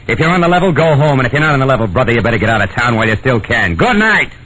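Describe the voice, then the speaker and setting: A man's voice: medium pitch, sing-song, very fast, lightly acted. A man with an American accent playing a tough, world-weary private detective in a 1940s radio drama, brusque and wisecracking, both in scenes and narrating. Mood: warning. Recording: dull old radio recording with music.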